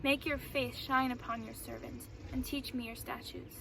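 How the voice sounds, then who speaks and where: A woman's voice: sits high, level tone, moderate pace, vocal fry. A woman reading scripture aloud, recorded a little away from the mic with some noise. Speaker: Bible reader. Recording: slightly distant mic with faint noise.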